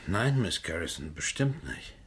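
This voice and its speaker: An actor playing an evasive, offhand ne'er-do-well accused of a crime in a radio drama, answering hesitantly. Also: male voice, sits low, very animated, a little slow, a bit bright, husky, heavily acted.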